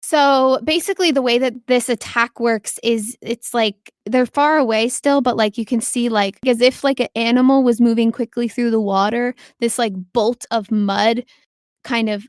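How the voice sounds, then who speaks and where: A woman with a fairly high voice runs a tabletop role-playing game as game master. She narrates off the cuff in a casual way and encourages her players. The recording is close and dry. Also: steady tone, normal speed, no echo. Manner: encouraging, affirming players with short praise.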